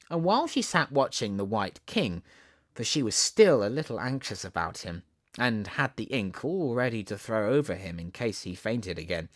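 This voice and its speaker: A man with a Southern English accent narrates a children's storybook. His reading is theatrical and expressive, rising and falling widely in pitch. The sound is close and dry. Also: dry recording. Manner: theatrical, animated. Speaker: audiobook narrator.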